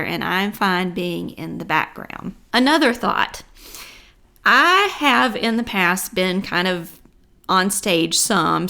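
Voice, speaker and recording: A woman's voice: mid-range voice, creaky, fairly bright tone. A middle-aged woman hosting a personal vlog, speaking earnestly and encouragingly to viewers in a reflective way, close to a desk microphone at home. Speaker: vlog host. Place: living room at home. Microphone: large desk microphone, close.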